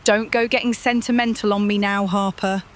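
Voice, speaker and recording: A woman's voice: medium pitch, fairly even intonation, normal speed, slight background noise, natural voice. A woman playing a cool, dry-witted adventurer in fantasy game dialogue. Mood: dry, matter-of-fact.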